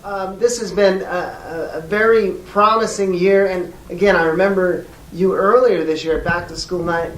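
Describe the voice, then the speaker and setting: A person playing a polite schoolteacher on a call to a parent, speaking in a measured, hesitant and diplomatic way with frequent pauses. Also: medium pitch, fairly even intonation, lightly acted. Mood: polite, careful. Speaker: teacher. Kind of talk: role-played phone call demonstration. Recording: echoey room, distant mic, faint noise.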